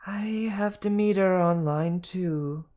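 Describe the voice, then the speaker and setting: Female storybook snake, acted by a reader, raised pitch, slow, scolding, bickering. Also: warm tone, lightly acted. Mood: chiding, bickering.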